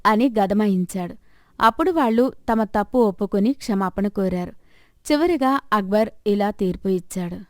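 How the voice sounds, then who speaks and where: A woman's voice: medium pitch, lively intonation, moderate pace, a little mellow, dry recording, clean studio sound. A woman storyteller reading a scripted moral tale as narration.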